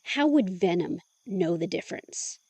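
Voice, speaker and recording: A sharp-tongued young woman who questions everything with dry sarcasm. Her voice is husky and slightly low, recorded close and dry. Audio drama. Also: animated, a little slow, dry recording, exaggerated voice. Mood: sarcastic.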